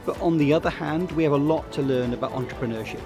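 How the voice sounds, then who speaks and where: A man who heads research at a university, giving a brisk, articulate interview at a networking event. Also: on the high side. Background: background music.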